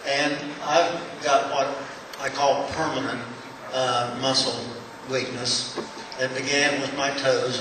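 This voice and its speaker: A man in his seventies speaks up from the audience in a plain, halting way, pausing often on fillers. His voice stays flat and even in pitch. It comes from far off in a very echoey hall with clear background noise. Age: 70s. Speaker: audience member. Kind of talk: audience question. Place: conference room.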